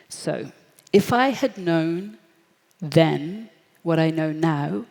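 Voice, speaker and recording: A British woman in her thirties giving a lively, story-driven talk at a forum, acting out the voices of people she quotes. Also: sits low, vocal fry. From Britain. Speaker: speaker at a forum. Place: large hall. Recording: echoey hall, long reverb.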